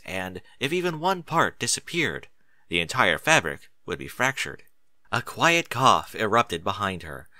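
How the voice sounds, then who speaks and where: A man reading a scripted story as a narrator, in an expressive and deliberate dramatic style. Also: medium pitch, no room sound. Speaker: narrator of fan fiction audiobook.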